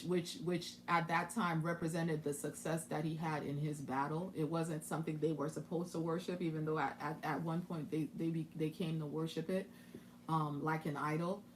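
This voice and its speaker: Woman musing through scripture, lower voice. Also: fairly even intonation, normal speed, a little hiss or hum. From Haiti. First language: French. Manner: thinking aloud, with many restarts and asides. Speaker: Bible teacher. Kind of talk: casual Bible study commentary.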